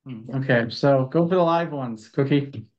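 A man's voice: mid-range voice, normal speed. Man hosting a webinar over dull audio. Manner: relaxed, joking, reads viewer questions. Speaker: host of a bird club webinar. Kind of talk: moderating a panel discussion. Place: home room. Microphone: video call. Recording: dull lo-fi video call audio.

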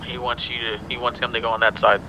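Man, quick pace, flat delivery, casual game chat, muffled lo-fi audio, noisy background. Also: medium pitch. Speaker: roleplay teammate relaying messages.

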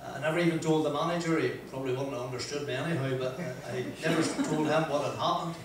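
An older Northern Irish man tells a rambling, self-deprecating story to a seated audience, with his voice a touch high for a man. He is picked up from some distance off, and a big echoey room rings around him. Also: steady tone, background noise. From Northern Ireland. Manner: chatty, self-deprecating, frequent "uh" pauses and laughs. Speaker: motivational speaker. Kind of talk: anecdotal motivational talk. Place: large wood-panelled function room, audience present. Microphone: handheld mic.

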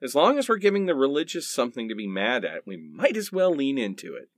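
A man's voice: mid-range voice, huge pitch swings, quick pace, no room sound. Guy doing sarcastic sitcom man in a radio play, swingy delivery, dry close sound. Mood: wry. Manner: sarcastic, wry. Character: sardonic married sitcom man, bewildered and quick to quip. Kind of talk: comic radio play acting.

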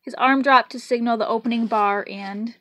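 A woman reading a children's picture book aloud in an expressive storytelling style. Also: a little high, moderate pace, a little hiss or hum. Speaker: storybook reader. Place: indoors in a room at home.

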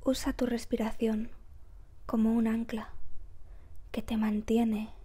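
A woman guiding a meditation in a soft, breathy, whispery voice, calm and gentle, with measured phrases and long pauses. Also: fairly high pitch. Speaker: meditation guide. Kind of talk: slow guided meditation narration.

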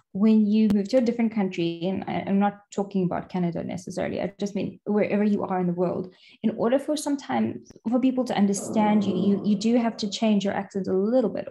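A woman's voice: medium pitch, warm tone. A woman interviewing a guest in a casual chat, thinking her points through aloud.

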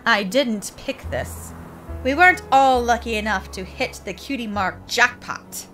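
A woman's voice: a little high, unhurried, bright, forceful tone, soft background music. A woman voicing a curt, haughty, irritable businesslike pony mare in a cartoon comic dub. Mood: bitter. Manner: clipped, impatient, haughty.

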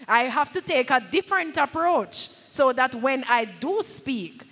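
Jamaican lady pastor giving a sermon, high bright voice, echoey church hall, chatty but punchy, bit of patois. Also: animated, a little slow. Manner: emphatic, conversational, drops into Patois. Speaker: pastor preaching. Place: large church hall at a pulpit. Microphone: handheld microphone. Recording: echoey hall through PA microphone.

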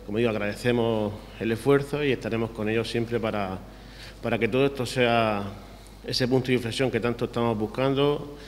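A young adult man with a Murcian Spanish accent, speaking earnestly at a press conference podium. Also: on the low side. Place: city hall press room, indoors. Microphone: podium microphone. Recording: reverberant hall, faint room hiss.

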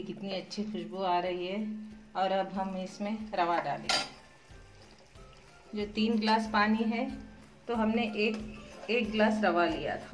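Woman, home cooking host, patient step-by-step explanation, middle pitch, loud background music. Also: rough recording. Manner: patient, explanatory, repeats steps with "dekhiye". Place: home kitchen, cooking at the stove.

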